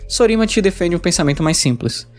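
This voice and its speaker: A man's voice: sits high, expressive, quick pace, soft background music. A man with a Brazilian accent reading a scripted video review.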